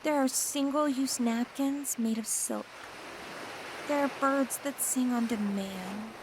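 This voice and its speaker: A woman playing an obsessive, possessive mermaid who lures a pirate down to her undersea palace, speaking in a slow, coaxing, intimate ASMR roleplay. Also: a little high, background noise. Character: possessive, obsessive female mermaid queen, young adult.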